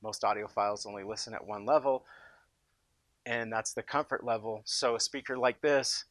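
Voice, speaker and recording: An American man giving an informal, unscripted product pitch as a company boss, speaking with enthusiasm and emphasis. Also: fairly even intonation, normal speed, fairly bright tone, dry recording. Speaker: company founder presenting his loudspeaker in a product demo.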